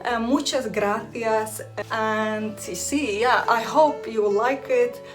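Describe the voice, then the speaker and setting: A woman's voice: fairly high pitch, steady tone, slow, music in the background. A young woman from Iraq whose first language is Aramaic, chatting casually to camera as a hair and beauty vlogger, laughing often and restarting her sentences.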